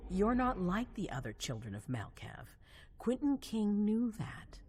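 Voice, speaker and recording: An actress playing a composed, calculating vampire ruler in a video game cutscene, measured and authoritative. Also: female voice, medium pitch, very animated, a little mellow, husky. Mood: commanding, measured. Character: commanding vampire prince woman, composed, calculating, regal. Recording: faint music behind.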